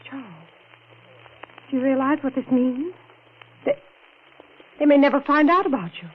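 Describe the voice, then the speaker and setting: A woman's voice: high-pitched, moderate pace, natural voice. An actress playing a devoted, resolute woman shielding her lover, in urgent, commanding 1940s radio melodrama style. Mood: urgent, probing. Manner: urgent, commanding, dramatic questioning. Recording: scratchy, narrow 1940s radio recording.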